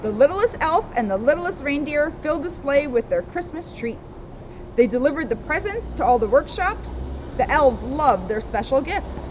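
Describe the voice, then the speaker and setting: A woman's voice: high voice, expressive, normal speed. A woman reading a children's storybook aloud with casual asides, recorded outdoors. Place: outdoors in a town street. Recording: dull outdoor recording with loud street noise.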